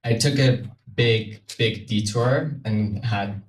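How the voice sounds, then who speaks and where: A man's voice: lowish voice, slow. A man from Switzerland speaking informally and somewhat hesitantly as a guest on a panel. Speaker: panel guest from a carbon removal company. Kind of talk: casual spoken panel talk. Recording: roomy, distant mic, noisy event space.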